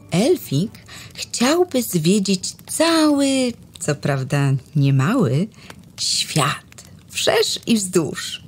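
A woman narrating a rhymed children's Christmas story expressively, sometimes dropping to a whisper. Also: lowish voice.

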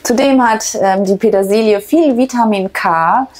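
A woman in her thirties talking to camera in a bright home kitchen, chatty and upbeat, on a lapel mic. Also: on the high side, normal speed. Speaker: raw food vlogger. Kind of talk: casual to-camera explanation.

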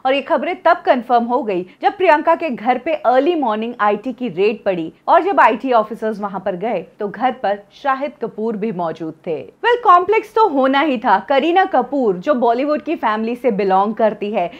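Woman in her 30s, gossip presenter, very animated pitch, slightly high voice, a bit dull lo-fi sound. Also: moderate pace, hardly any pauses. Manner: lively storytelling delivery, mixing in English phrases. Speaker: entertainment news presenter. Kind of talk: scripted celebrity gossip presentation.

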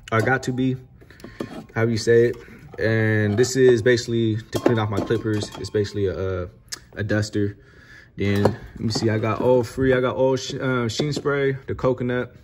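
A man's voice: mid-range voice, a little mellow. Laid-back young male barber, casual chat. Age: young adult. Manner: laid-back, slangy, repeats "you know". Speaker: barber and vlogger. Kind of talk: casual product tour chat. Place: barbershop, indoors.

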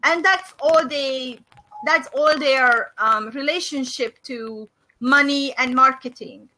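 A middle-aged woman from Hungary with a Hungarian accent, hosting a faith and business video call and speaking thoughtfully with many pauses and fillers. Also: sits high, pushed and bright, slight background noise, low-quality recording. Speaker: host of a faith and business discussion. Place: small room indoors. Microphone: webcam on a video call.

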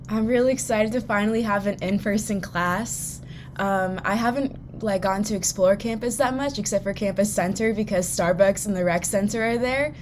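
A young woman from California, a student speaking relaxed on a video call panel. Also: mid-range voice, moderate pace. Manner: relaxed, says "like" often. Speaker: orientation leader. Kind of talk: casual panel talk. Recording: faint background noise.

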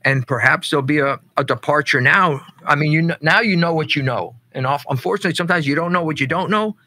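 A man co-hosting a podcast from a studio set, reflecting on past events in a measured way. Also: fairly high pitch, sharp tone. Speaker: podcast co-host.

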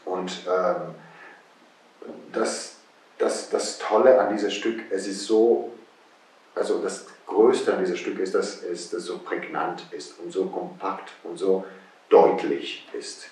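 Deep-voiced Italian man in an echoey room. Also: fairly even intonation, slow pace, darkish tone. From Italy. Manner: thoughtful, hesitant, many fillers. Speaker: guest conductor. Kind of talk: interview answers. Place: wood-panelled room by a window. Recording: roomy, distant echo.

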